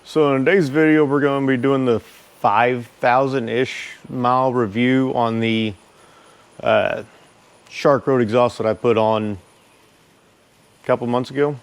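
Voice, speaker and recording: A man giving a casual product review as a motorcycle vlogger, speaking informally and haltingly. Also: medium pitch, steady tone, clean tone. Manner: informal, halting, unhurried delivery.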